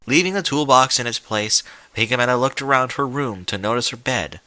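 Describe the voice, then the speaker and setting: A man's voice: mid-range voice, animated, moderate pace, bright, forceful tone, no echo. A man reading a scripted story aloud as a dramatic narrator, with an emphatic, theatrical delivery. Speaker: fan fiction narrator.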